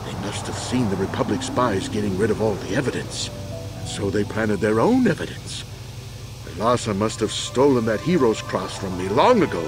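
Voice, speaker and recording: An actor playing a proud, weary old war veteran accused of a crime, earnest and deliberate, in a video game. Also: male voice, mid-range voice, lightly acted. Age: older.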